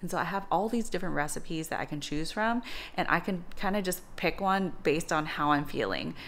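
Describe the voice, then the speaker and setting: A woman's voice: sits low, lively intonation, a little fast, fairly bright tone. A woman in her thirties explaining home cooking in an informal, conversational vlog style. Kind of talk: casual vlog explanation to camera.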